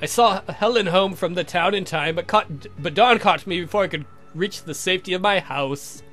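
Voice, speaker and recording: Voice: medium pitch, normal speed, fairly bright tone, soft background music, lightly acted. A woman voicing a polite, restrained male vampire count with dry delivery, for a visual novel game. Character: wry, courteous male vampire count, young suitor.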